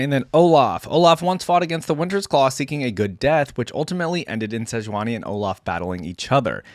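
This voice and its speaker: A man's voice: medium pitch, dry recording, studio quality. A man hosting a reaction video, reading lore aloud with casual, informal asides.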